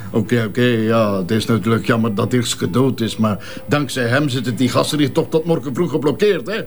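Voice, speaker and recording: A man's voice: medium pitch, normal speed, close to an everyday voice. A man with a Flemish accent acting a jovial, smug, gossip-loving businessman in a radio drama, breezy and chuckling. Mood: smug glee. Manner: chuckling, breezy, throws in English phrases.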